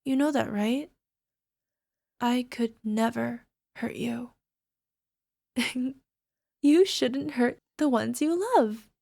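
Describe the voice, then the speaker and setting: A woman's voice: fairly high pitch, drawn-out words, vocal fry, pauses often, darkish tone, dry recording, studio quality, full character voice. A woman playing a lovesick, possessive young alchemist in an ASMR girlfriend roleplay, speaking in a coy, coaxing, sweetly menacing way. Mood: pained tenderness. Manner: coy, coaxing, giggly, tag questions. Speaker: voice actor.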